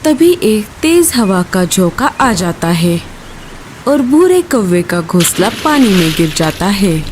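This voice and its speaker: A woman's voice: medium pitch, sing-song, slow pace, noisy background. A woman storyteller reading a scripted children's story.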